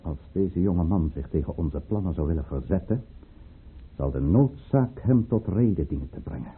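An actor playing a ruthless, fervent count who leads a conspiracy, with declamatory, commanding delivery in a Dutch radio play. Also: male voice, deep voice, animated, moderate pace, husky, full character voice. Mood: resolute. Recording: muffled, narrow-band old radio recording.

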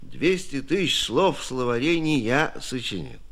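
Slow, animated old scholar. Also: male voice, medium pitch, heavily acted. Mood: modest, sincere. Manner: folksy, old-fashioned, unhurried speech with chuckles. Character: elderly male scholar, modest, hardworking, good-humoured, stubborn lexicographer. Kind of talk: Soviet radio play acting.

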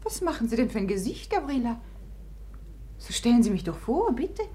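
A woman's voice: fairly high pitch, sing-song, moderate pace, dark tone, slight background noise. An actress playing a proud, capricious society woman, teasing and imperious, in a 1950s German radio drama. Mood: mocking.

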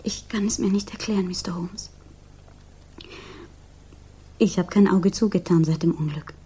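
An actress playing a grief-stricken, loyal young fiancée, soft, breathy and subdued, in a 1960s German radio crime drama. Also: female voice, lowish voice, normal speed. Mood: grieving, earnest. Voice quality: soft, breathy, often near whisper. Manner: hesitant, subdued.

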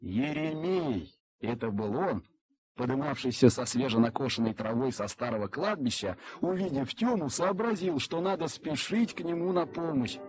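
A man narrating a scripted story as a dramatic, expressive and suspenseful storyteller. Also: medium pitch, moderate pace.

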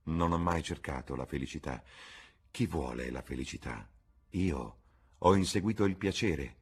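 A man voicing a polite, charming young gentleman with a courteous, lightly playful manner, in an audiobook. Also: rumbling low, moderate pace, slightly rough. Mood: cool, assured.

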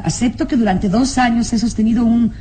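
An actress playing a defiant young aristocratic daughter in a radio melodrama, plaintive and pleading. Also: female voice, on the high side, steady tone, a little fast, dark tone, natural voice. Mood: protesting. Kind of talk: old-time Spanish-language radio drama acting.

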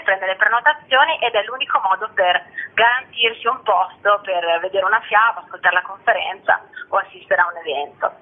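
Festival director, female, husky edge, explanatory and discursive, on a narrow telephone line. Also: a little high. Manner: articulate, discursive, long flowing explanations. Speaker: festival artistic director, interview guest. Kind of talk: spoken interview, explanatory.

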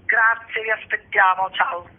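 A woman guest presenting a festival programme in an informal interview, with a husky voice and a hesitant manner, searching for words. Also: mid-range voice, steady tone, measured pace, music in the background. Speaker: festival co-director, radio guest. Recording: dull, band-limited phone-like radio sound.

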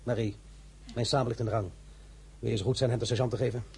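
A man voicing a proud young general in a radio play, sharp-tongued and sure of himself. he talks quickly and crisply over faint background hiss. he carries a mid-pitched voice with an edge of command. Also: fairly even intonation, natural voice. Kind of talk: radio drama acting.

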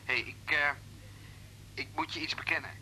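An actor playing a teasing, affectionate boyfriend in a radio drama, casual and joking, with the odd hesitation and a sharp-edged voice. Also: male voice, fairly high pitch, fairly even intonation, moderate pace, breathy edge, heavily acted, low-quality recording. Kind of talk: naturalistic radio drama acting.

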